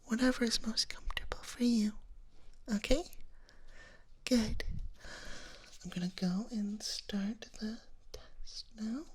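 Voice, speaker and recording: A woman playing a gentle, reassuring female dragon nurse in a soft, whispered ASMR medical roleplay. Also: a little high, takes it slowly, long pauses. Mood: calm, reassuring. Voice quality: breathy whisper.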